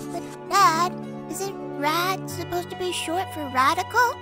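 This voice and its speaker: A woman's voice: very high-pitched, measured pace. A woman voicing an innocent, curious little skeleton boy in a comic dub, childlike and earnest. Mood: puzzled. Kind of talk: cartoonish comic dub acting. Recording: loud music behind the voice.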